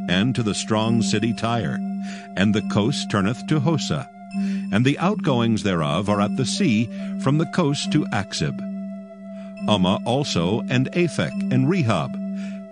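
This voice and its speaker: A man reading scripture as a narrator in a formal, solemn, measured and declamatory manner. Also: lowish voice, sing-song. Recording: prominent music bed. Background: music.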